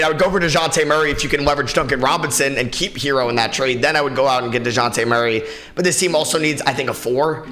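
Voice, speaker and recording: A young man with a raspy, tired voice, hosting a solo sports podcast in a casual, opinionated way with many fillers. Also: medium pitch, sharp tone. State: tired, short on sleep. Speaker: podcast host.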